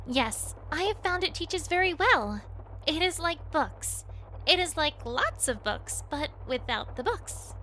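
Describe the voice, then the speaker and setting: A woman plays a curious, bookish young female pony creature in an audio drama. The voice is high and bright with lively swoops, and the sentences are careful and simple, like a new language learner's. Some background noise sits behind it. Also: measured pace, heavily acted, low-quality recording. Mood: eager, curious.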